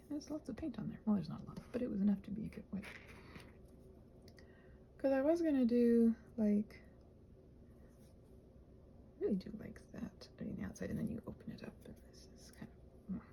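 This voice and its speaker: A woman in a casual, rambling ASMR vlog, soft-spoken and self-deprecating, thinking aloud in a meandering way and sometimes dropping toward a whisper. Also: a little high, a little hiss or hum. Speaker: ASMR creator rambling while crafting.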